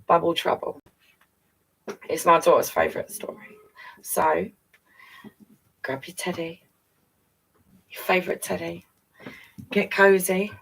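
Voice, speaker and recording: A woman's voice: lowish voice, takes it slowly, vocal fry, pauses often, fairly bright tone, slightly rough, far from the mic, low-quality recording. A woman reading a picture book to young children, playfully breaking off for chatty asides and questions to the listeners. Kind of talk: read-aloud story time with chatty asides.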